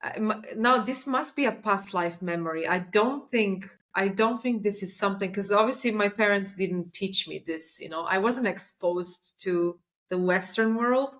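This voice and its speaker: A young woman from Croatia, whose first language is Croatian, chats on a podcast. She tells her stories with animated energy, often restarting sentences and breaking into laughs along the way. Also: medium pitch, normal speed, few pauses. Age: young adult. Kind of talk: casual podcast chat.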